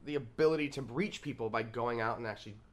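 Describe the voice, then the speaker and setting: A man's voice: mid-range voice, fast talker. A man guest on a podcast, joking playfully and laughing easily as part of a musical comedy duo. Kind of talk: casual podcast chat.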